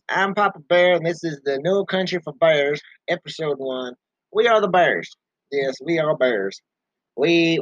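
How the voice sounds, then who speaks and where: A man's voice: fairly high pitch, a bit bright, dry recording. A man playing a male bear hosting a podcast, rambling in broken hick grammar and ranting angrily, recorded dry and a little muffled. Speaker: podcast host.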